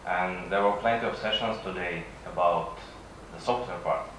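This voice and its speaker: A man's voice: sits low, normal speed, a bit bright. Russian guy doing a conference talk, kinda hesitant, really distant mic w/ big room echo. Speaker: conference speaker. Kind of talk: conference presentation, semi-improvised. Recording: echoey room from far off, faint noise.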